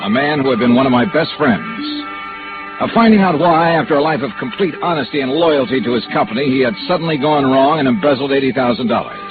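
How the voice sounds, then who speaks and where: An American actor playing a wisecracking, world-weary insurance investigator in a vintage radio noir drama, with clipped, dry delivery. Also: male voice, mid-range voice, animated, fast, lightly acted. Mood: brisk narration. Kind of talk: old-time radio noir acting and first-person narration. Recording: muffled vintage radio broadcast with music.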